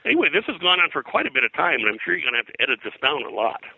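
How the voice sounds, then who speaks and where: A man's voice: on the high side, big pitch swings, racing, vocal fry, pushed and bright, husky, no echo, rough recording. An older man, a guest historian, talking informally in a detailed, digressive way. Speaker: guest, pop culture historian. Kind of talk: informal interview, recounting details.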